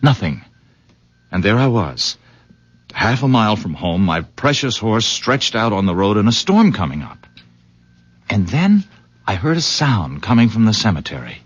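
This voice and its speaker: An actor playing a polite, excitable village horse owner in a radio drama, husky and halting in his courteous, earnest talk. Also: fairly low pitch. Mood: awed, earnest. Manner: hesitant, halting, courteous, effusive. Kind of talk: 1970s radio drama acting. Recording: dull old radio recording.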